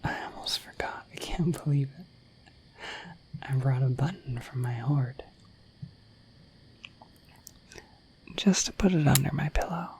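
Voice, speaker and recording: A woman voicing a shy, friendly dragon in a breathy whispered ASMR roleplay, rambling gently and hesitantly to the listener. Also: a bass voice, normal speed, a little hiss or hum, low-quality recording. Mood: shy, tender. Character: shy, friendly, dorky dragon in humanoid form.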